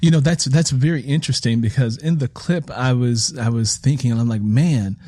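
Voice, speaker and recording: A male interview host with a medium-pitched voice and a very dry recording with hardly any room sound. He talks conversationally and reflectively, working through his points as he goes. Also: moderate pace, warm tone, dry recording.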